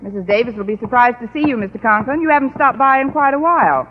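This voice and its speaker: A woman's voice: fairly high pitch, expressive, fast, natural voice. An actress playing a wry, sardonic woman English teacher in an old radio comedy, with dry wisecracking delivery. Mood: politely flustered. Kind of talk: 1940s-50s radio comedy acting. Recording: muffled, noisy old radio broadcast recording.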